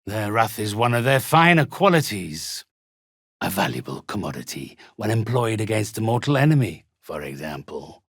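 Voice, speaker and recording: An actor playing a haughty, theatrical undead necromancer in a video game, speaking with pompous, condescending, ornate phrasing. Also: male voice, on the low side, sing-song, normal speed, gravelly, a bit bright, husky, dry recording, clean studio sound, full character voice. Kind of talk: video game character acting.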